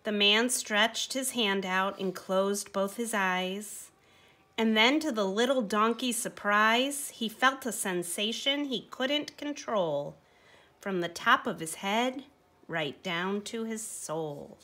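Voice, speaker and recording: A woman reading a rhyming children's picture book aloud, with expressive, emphatic phrasing. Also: medium pitch, slow. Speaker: storybook reader.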